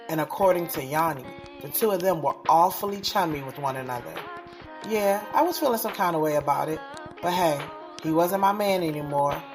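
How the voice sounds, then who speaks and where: A woman's voice: sits low, lightly acted. A woman playing a frank, sassy young woman in an audio drama, candid and slangy as she tells of her love life. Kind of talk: first-person audio drama narration and dialogue.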